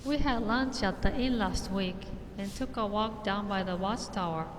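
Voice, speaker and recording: An earnest, guarded young female mage from a fantasy game , acted by a woman. Her voice rings in a long hall reverb, with some faint noise and rumble around it. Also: moderate pace, close to an everyday voice. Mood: worried, confiding. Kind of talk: scripted fantasy video game dialogue.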